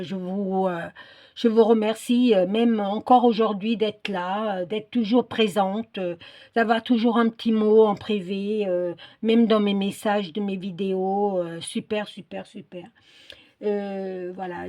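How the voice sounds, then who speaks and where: A woman's voice: medium pitch, darkish tone. A middle-aged or older woman chatting casually in a craft vlog, hesitant and often searching for her words. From Portuguese descent. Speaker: craft video creator.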